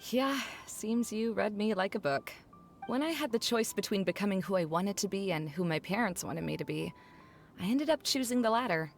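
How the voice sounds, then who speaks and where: Downcast young woman for a video game played by an actress: weary, reflective, confiding, medium pitch, music underneath. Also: expressive, normal speed, natural voice. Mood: wistful, dejected.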